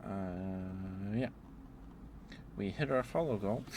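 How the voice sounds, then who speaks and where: Low-voiced man rambling slowly on stream. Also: a little mellow, slight background noise. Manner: rambling, hesitant, unhurried. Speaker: livestreamer. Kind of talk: casual ASMR stream chat.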